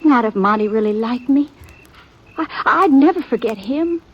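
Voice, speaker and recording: An actress playing a shy, earnest young American country girl in a 1950s radio Western, speaking in a halting, plaintive way. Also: a little high, animated, breathy edge. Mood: hurt, downcast. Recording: dull lo-fi vintage radio, background noise.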